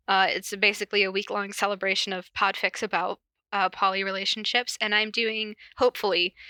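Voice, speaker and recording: Bright-voiced, giggly woman reading and chatting. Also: medium pitch, dry recording. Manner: giggly, informal, breaks off into asides. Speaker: podcast host and podfic reader. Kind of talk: casual cold reading of fan fiction and chat. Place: corner of a basement at home.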